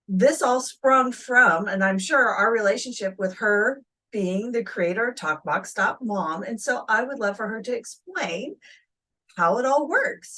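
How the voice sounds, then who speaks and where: A woman's voice: on the high side, pushed and bright, rough recording. Woman hosting a conversational interview. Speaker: show host interviewer.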